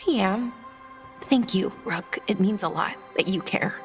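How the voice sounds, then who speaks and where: An actress playing a gentle, sincere woman companion in a fantasy video game, soft and slightly hesitant. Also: medium pitch, unhurried, soft background music, lightly acted. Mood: tender, light.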